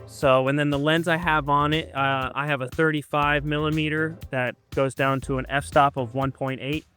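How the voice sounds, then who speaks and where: A man's voice: a little high, little pitch movement, moderate pace, pushed and bright, soft background music. Patient man explaining with lots of ums. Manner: patient, hesitant, many ums and uhs. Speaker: photographer teaching a guest. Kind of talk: casual how-to explanation.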